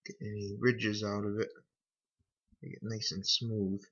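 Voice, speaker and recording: A man giving a casual how-to narration in an unhurried, folksy way, talking through each step as a hobbyist. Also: on the low side, flat delivery, fairly bright tone, breathy edge. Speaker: hobbyist demonstrating a repair. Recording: dull, lo-fi home recording.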